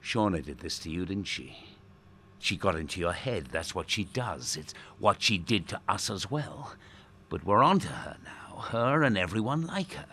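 A man acting a manipulative radio host in a dark audio drama, falsely kind, theatrical and coaxing, with a husky, gravelly voice. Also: sits low, sing-song, normal speed, heavily acted. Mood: coaxing, pressing. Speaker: radio presenter.

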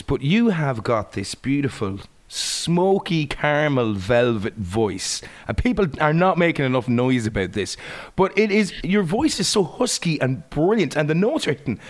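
Brief: male host, mid pitch, very animated swings, effusive and jokey. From Ireland. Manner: effusive and animated, quick asides and jokes. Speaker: radio interviewer. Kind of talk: enthusiastic radio interview.

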